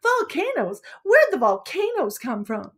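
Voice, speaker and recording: Lady doing a prim little girl telling a sweet princess story, high voice, dramatic storybook style, gets huffy easy. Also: a little slow, heavily acted. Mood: indignant. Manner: prim, expressive, easily indignant. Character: young girl telling a sweet, sentimental princess fairy tale. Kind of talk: dramatic storybook read-aloud.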